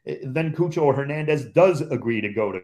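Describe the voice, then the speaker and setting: A man's voice: medium pitch, lively intonation, brisk, a little mellow. A man who calls games on the radio, a guest on a podcast chat, talking in an emphatic, opinionated way and repeating points for stress.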